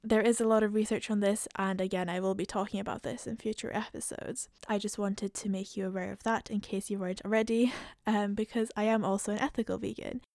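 A woman's voice: medium pitch, fairly even intonation, fairly quick, darkish tone, dry recording, studio quality. A woman in her twenties from Central Europe with a mostly Scottish, slightly international accent, speaking informally and explaining things as a solo podcast host. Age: 20s. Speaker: podcast host, medical student.

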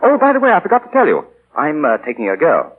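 An actor playing a cocky, charming young spendthrift gentleman, all glib banter in 1940s radio style. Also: a little high, wildly swooping pitch, fast, slightly rough, exaggerated voice. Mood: breezy, flattering. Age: young adult. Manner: glib, breezy upper-class banter. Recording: muffled vintage 1940 radio broadcast.

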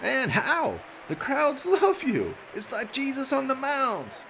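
High-pitched, excitable TV news reporter. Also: male voice, slow pace, vocal fry, slight background noise, exaggerated voice, poor audio. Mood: gushing. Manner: breathless, exclaiming showmanship.

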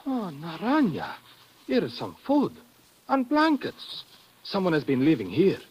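Husky, fearful village fisherman. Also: high voice, wildly swooping pitch, measured pace, dull audio, full character voice. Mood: uneasy, shocked. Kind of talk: 1940s radio drama acting.

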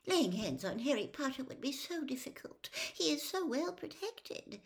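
Woman doing squeaky little coward henchman, grovelling n nervous, way high, words tumbling out. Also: big pitch swings, moderate pace, gravelly, full character voice. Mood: relieved. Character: timid, cowardly, servile male wizard henchman.

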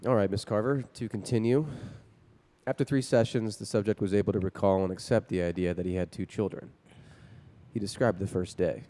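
A composed male psychiatrist , played by an actor, speaks in a low, soft-edged voice. He is measured and clinical, in the manner of 1950s radio drama. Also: fairly even intonation, close to an everyday voice. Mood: calm, probing. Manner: measured, professional.

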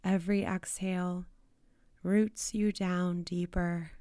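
A woman guides a meditation at a very unhurried pace. Her voice is dark and relaxed, soothing, with soft breathy phrase endings and long pauses. The recording is close and dry. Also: on the low side, no room sound.

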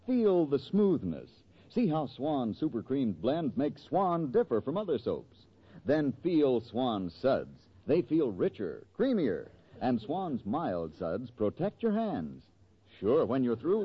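A man's voice: mid-range voice, breathy edge. A man delivering an emphatic, salesmanlike 1940s radio commercial as announcer. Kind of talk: 1940s radio advertising pitch. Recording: dull vintage 1940s radio.

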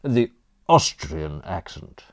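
A man voicing an unflappable, deferential gentleman's valet in an audiobook, speaking in measured, formal phrases. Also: on the low side, big pitch swings, dry recording, studio quality. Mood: calm, deferential. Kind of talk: audiobook character dialogue.

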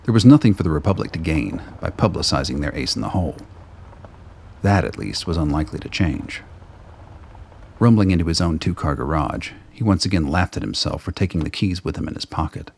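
A man reading scripted narration for a radio play in a deep, gravelly voice. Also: lively intonation, brisk, darkish tone.

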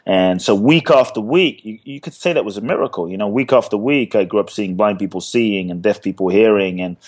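Guest: earnest man, lower voice, emphatic repetition, reflective storytelling. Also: brisk. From born in Ghana, grew up in London. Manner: earnest, repeats phrases for emphasis. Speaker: guest, speaker and teacher. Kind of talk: personal story in a podcast interview.

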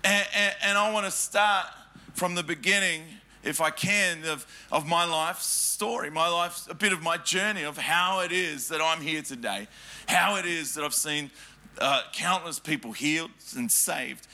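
An Australian man preaching to a church congregation. His voice sits high and rings very bright. He throws questions at the crowd and mixes jokes into his stories. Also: a little slow. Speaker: pastor. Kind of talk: sermon with personal stories. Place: church hall before a congregation.

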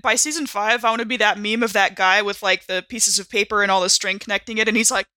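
A woman's voice: medium pitch, steady tone, fast, pushed and bright, no room sound. A woman playing a tabletop game, chatting casually with a sarcastic, joking streak. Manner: sarcastic, giggly. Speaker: player.

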